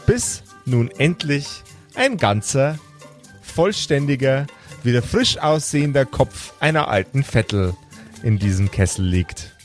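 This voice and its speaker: A man narrating a fantasy story as game master, with deliberate, dramatic phrasing and a suspenseful style. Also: mid-range voice, animated, soft background music.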